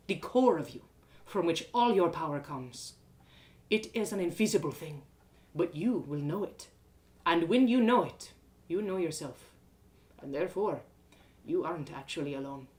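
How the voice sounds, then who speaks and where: Woman playing a gentle, wise male mentor, low. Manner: measured, philosophical, reassuring.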